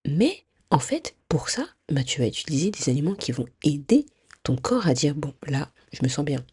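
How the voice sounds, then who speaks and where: A woman's voice: low-pitched, very animated, normal speed, dark tone, husky, dry recording, studio quality. A woman hosting a podcast, chatting directly to the listener with a stuffy nose, many fillers and restarts. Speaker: podcast host. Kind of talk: casual advice podcast monologue.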